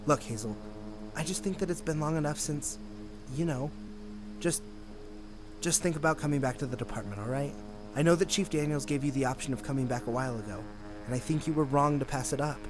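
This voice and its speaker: A man's voice: fairly high pitch, fairly quick, long pauses, lightly acted. A man playing a friendly, encouraging police detective in an audio drama, talkative and earnest. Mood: earnest, persuading. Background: music.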